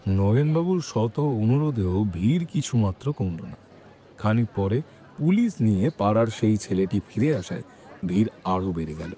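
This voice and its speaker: Low-pitched male story narrator, lively intonation. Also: normal speed. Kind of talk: scripted dramatic story narration.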